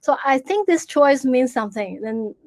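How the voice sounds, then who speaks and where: A woman's voice: high voice, lively intonation, normal speed, a little mellow, no echo. Young woman giving a careful online talk. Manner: careful, hesitant with fillers. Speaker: speaker. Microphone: video call.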